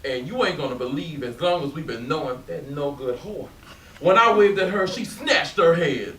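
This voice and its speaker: In a roomy, distant recording, a male stage actor plays a blunt working man who loves to tease. His voice sits fairly high and moves around a lot as he banters and swears like a loyal drinking buddy. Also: normal speed. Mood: indignant. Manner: animated, bantering, profane. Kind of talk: stage readers theatre acting. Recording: echoey room, distant mic, faint noise.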